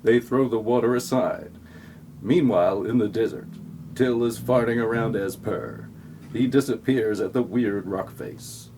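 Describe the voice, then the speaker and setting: A man's voice: on the low side, a little slow, creaky, a little mellow, a little hiss or hum. A man narrating a scripted comic audio play in a mock-dramatic, tongue-in-cheek way.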